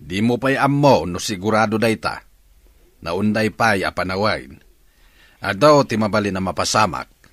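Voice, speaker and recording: A man's voice: on the low side, expressive, normal speed, fairly bright tone, no room sound. Doubting father in dry sound. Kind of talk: radio drama acting.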